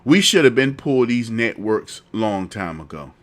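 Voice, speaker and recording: A man's voice: on the low side, very animated, normal speed, fairly bright tone. A man hosting a political talk show with a lingering cough, repeating himself as his commentary builds into heated indignation. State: fighting a cough.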